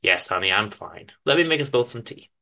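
A man's voice: lowish voice, animated, fairly quick, gravelly, pushed and bright, heavily acted. A man voicing a polite, downcast husband who speaks fondly and hesitantly, in a story reading. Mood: subdued, fond. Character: downcast, polite British husband, affectionate writer.

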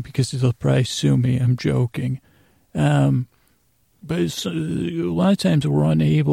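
A man hosting a podcast with a rambling bedtime monologue, meandering, halting and circling back on his own sentences. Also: mid-range voice, vocal fry, mellow, dry recording, clean studio sound. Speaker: podcast host.

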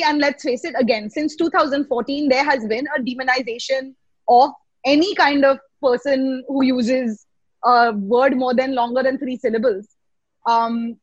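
Female comic, high husky voice, articulate and halting, reflective panel talk, dry muffled sound. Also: no room sound. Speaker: stand-up comedian, panel guest. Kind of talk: reflective casual discussion.

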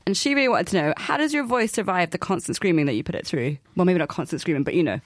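Fast-talking young woman radio host. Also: on the low side, animated, dry recording, clean studio sound. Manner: quick, rambling, giggly. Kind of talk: casual radio chat. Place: radio studio.

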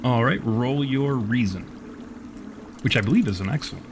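A man's voice: mid-range voice, lively intonation, normal speed, background noise. A man improvising narration as game master of a tabletop roleplaying game, with wordy, storyteller phrasing.

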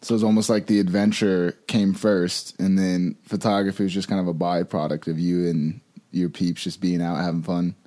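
Slangy podcast host man, low-ish voice. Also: flat delivery, normal speed, warm tone. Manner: friendly slangy, calls guest bro. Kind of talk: casual interview chat.

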